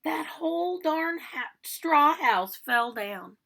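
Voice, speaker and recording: Woman as an animated, self-justifying big bad wolf. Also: high-pitched, slow pace, vocal fry, voice pushed up, full character voice. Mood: self-justifying, dramatic. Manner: chatty, theatrical, protesting innocence. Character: aggrieved, self-justifying male big bad wolf telling his story. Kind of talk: animated storybook read-aloud.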